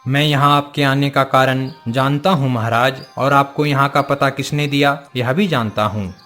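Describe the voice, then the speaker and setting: A man's voice: mid-range voice, fairly even intonation, normal speed, a bit bright, faint music behind, lightly acted. A man playing a wise, all-knowing forest guru who speaks in a solemn, formal and instructive way, in a cartoon moral story.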